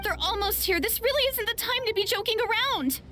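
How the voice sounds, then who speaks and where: A woman's voice: very high voice, expressive, fairly quick, pushed and bright, soft background music, exaggerated voice. A woman voicing a flustered, plucky, girlish young maiden in an anime-style video game. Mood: frightened, pleading.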